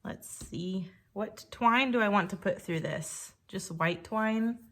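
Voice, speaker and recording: A woman with a slightly croaky voice, chatting casually and thinking aloud while she works on a scrapbook page. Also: mid-range voice, slow. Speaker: scrapbooking creator. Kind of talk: casual crafting commentary.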